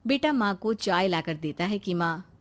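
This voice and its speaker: A woman's voice: on the low side, lively intonation, normal speed. A woman narrating a scripted family story.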